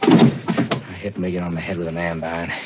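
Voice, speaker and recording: An actor playing a tormented, desperate husband in a halting, intense 1940s radio thriller style. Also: male voice, deep voice, flat delivery, muffled recording, very noisy, close to an everyday voice. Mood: grim, desperate. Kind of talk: 1940s radio thriller first-person narration and acting.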